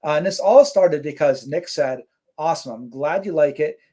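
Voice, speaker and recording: A man's voice: fairly high pitch, moderate pace. A man co-hosting a casual live stream, reading out chat comments and rambling with lots of fillers. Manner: relaxed, rambling, many fillers.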